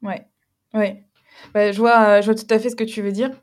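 A woman leads a relaxed interview. She asks questions, listens closely and keeps up a steady stream of short agreeing replies. Her voice sits at a middle pitch. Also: normal speed. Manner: attentive, frequent short backchannels. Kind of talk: casual interview.

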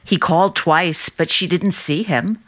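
A woman's voice: fairly low pitch, a little slow. An actress playing a fond, chatty middle-aged housewife and mother in a radio play, fluttery and eager. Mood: fond fluster. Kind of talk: dramatic radio play acting.

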